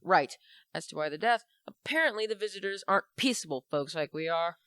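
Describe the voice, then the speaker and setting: A woman's voice: lowish voice, animated, a little fast, a bit bright, no echo. Woman playing an earnest young man, very dry sound. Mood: earnest, uneasy. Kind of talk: amateur radio play acting.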